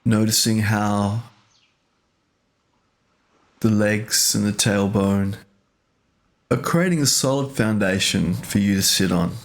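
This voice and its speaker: Slow-paced man guiding meditation. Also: lowish voice. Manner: gentle, unhurried instruction in short phrases with pauses. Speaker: meditation teacher. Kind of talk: guided meditation.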